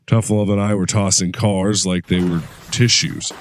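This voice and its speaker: An actor playing a veteran male super-soldier who calmly recounts his past in an audio drama. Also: unhurried, slight background noise. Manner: steady recounting, low-key storytelling.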